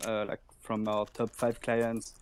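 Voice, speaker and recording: A man from an auction house explaining a sale in a live audio chat, halting with many fillers and restarts. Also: on the low side, monotone, crowd noise. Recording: noisy stream audio.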